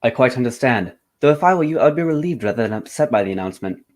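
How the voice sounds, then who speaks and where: An actor playing a composed, courteous gentleman detective in a radio drama, speaking in a formal, measured way. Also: mid-range voice, expressive, natural voice. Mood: reassuring.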